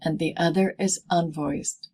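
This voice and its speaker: A woman presenting a scripted pronunciation lesson as a teacher, speaking in deliberate, clearly segmented phrases. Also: low voice, steady tone, warm tone. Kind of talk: scripted instructional narration in easy English.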